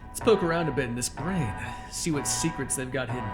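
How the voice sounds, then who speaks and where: A man's voice: medium pitch, brisk, natural voice, rough recording. Man acting a menacing supervillain over music. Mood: furious, desperate. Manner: taunting, commanding, condescending. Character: smug, menacing male supervillain who controls minds. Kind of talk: dramatic ASMR roleplay, spoken to the listener.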